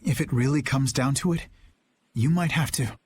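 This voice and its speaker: An actor playing an earnest, principled young knight captain in a video game, speaking in a measured, thoughtful way. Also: male voice, mid-range voice, a little hiss or hum, close to an everyday voice. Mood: solemn. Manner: measured and reflective, rising to a rousing rally. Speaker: knight commander.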